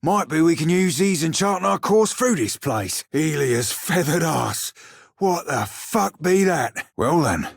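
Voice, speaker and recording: An actor playing a small, roguish, salty pirate shiphunter in a video game, with a husky, rough voice and wry nautical slang. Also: male voice, fairly high pitch, animated, measured pace, no echo, clean studio sound, full character voice. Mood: uneasy, irreverent. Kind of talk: video game character voice lines.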